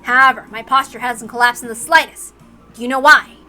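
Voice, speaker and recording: A woman voicing a calm, confident young male fighter in a visual novel, deliberate and coolly menacing. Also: on the high side, soft background music. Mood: smugly calm.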